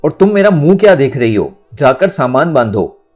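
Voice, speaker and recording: A man's voice: fairly high pitch, very animated, normal speed, a little mellow, soft background music, pitched above the performer's own voice. A man voicing a distraught middle-aged mother in an audio story, scolding with bitter reproaches. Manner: wailing reproaches, curses.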